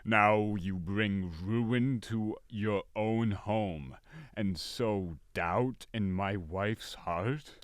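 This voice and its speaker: A man acting in an audio drama as a stern, haughty fairy king with an imperious, deliberate manner. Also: on the low side, no room sound, clean studio sound. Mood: stern, disapproving.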